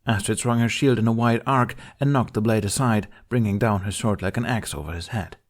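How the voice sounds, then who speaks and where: Low-voiced man narrating a story. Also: a little fast. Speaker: fan fiction narrator. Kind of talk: expressive audiobook-style story narration.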